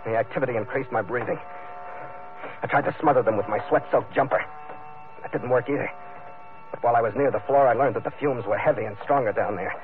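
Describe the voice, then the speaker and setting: A man's voice: a little high, natural voice. An actor playing a tough, hardboiled ship captain narrating his adventures in terse old-time radio drama style. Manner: terse, gritty, clipped sentences. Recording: tinny vintage radio broadcast, music underneath.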